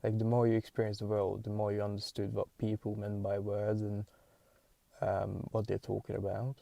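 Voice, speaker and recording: A young man with a Hungarian accent, a podcast host thinking aloud in a halting, searching way with many restarts. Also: fairly low pitch, monotone, creaky, warm tone, no echo. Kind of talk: solo musing monologue.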